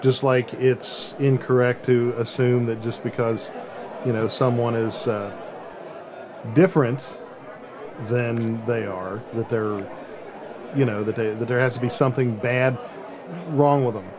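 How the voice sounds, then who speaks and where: A middle-aged man hosting a podcast in casual chat, often halting as he searches for words. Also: mid-range voice, fairly even intonation, a crowd in the background, noisy background. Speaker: podcast host.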